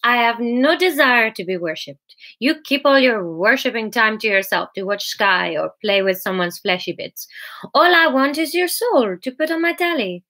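Woman voicing a scornful, taunting female devil, bright. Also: on the high side, lively intonation, moderate pace, heavily acted. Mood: persuasive. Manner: taunting, mock exasperated, belligerent. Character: relentless scornful female devil, mocking and persuasive.

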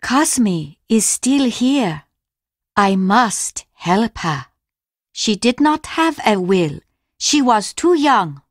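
A woman's voice: a little high, big pitch swings, no echo, clean studio sound, full character voice. A woman voicing a courteous, grieving older Japanese mother in a video game, speaking formally and carefully. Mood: agitated, pleading. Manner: formal, measured, careful delivery. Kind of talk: scripted game dialogue.

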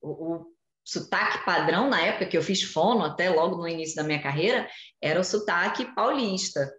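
A woman in her forties talks through a webcam from a room at home, explaining with animated gestures. Her voice sits fairly low and the room adds a noticeable echo. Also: brisk, muffled recording. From Brazil. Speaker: journalist guest. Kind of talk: casual video-call interview. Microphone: video call webcam. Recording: echoey room on video call.

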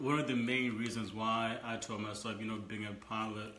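A man's voice: lowish voice, flat delivery, moderate pace. Young man chatting in a noisy, echoey room. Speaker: podcast co-host. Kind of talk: casual chat, personal anecdote. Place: indoor room with brick and wood-panel wall. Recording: echoey room with background noise.

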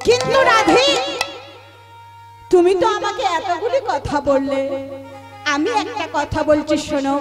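A woman voicing a calm, patient divine young man, speaking in a measured, soothing, lowered voice. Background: loud instrumental music.

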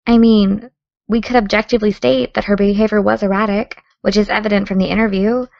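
A woman playing a determined, sardonic teenage podcaster in an audio drama, with a dry, brisk delivery. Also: mid-range voice, level tone, vocal fry, dry recording, dull audio, close to an everyday voice. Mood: focused, matter-of-fact. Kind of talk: naturalistic audio drama dialogue.

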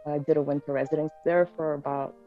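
A woman in her thirties with a low voice, born in Bulgaria, tells her story in a relaxed, rambling way with plenty of fillers and restarts. She sits in a room at home, and the sound comes through thin and phone-like from a video call. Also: quick pace, faint music behind. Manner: chatty, many fillers and restarts. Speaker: guest pianist. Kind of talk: casual interview chat. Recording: tinny video call audio.

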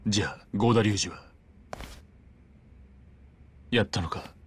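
A man's voice: lowish voice, brisk, a little hiss or hum, lightly acted. A male actor playing a serious, businesslike yakuza leader in a game cutscene, speaking curtly and urgently. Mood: tense, businesslike. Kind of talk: Japanese video game cutscene acting.

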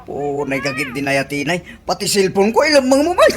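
A performer playing a gossip-loving, defensive husband in an Ilocano radio comedy drama, animated and exclamatory, with an Ilocano accent. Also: medium pitch, fast talker, crowd noise, close to an everyday voice. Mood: agitated.

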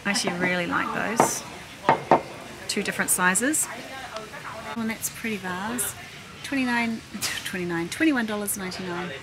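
A woman's voice: moderate pace, pauses often. A middle-aged woman chats casually, thinking aloud as she narrates her shopping. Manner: chatty, thinking aloud while browsing. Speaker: shopping vlogger. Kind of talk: casual chatty shop-along narration. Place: large indoor warehouse store. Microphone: handheld camera, operator behind it. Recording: noisy warehouse store background hum. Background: loud store ambience and rumble.

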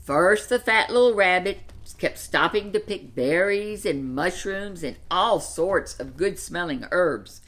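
An older woman in her seventies reading a children's picture book aloud in an expressive storytelling style. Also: fairly low pitch, measured pace, few pauses. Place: furnished living room, seated in an armchair.

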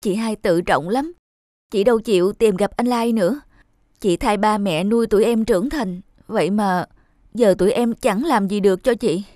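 A woman's voice: mid-range voice, moderate pace, warm tone, no echo, studio quality, lightly acted. A woman playing a tender, wistful young wife in a cartoon. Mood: sad, guilty. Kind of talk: cartoon dialogue.

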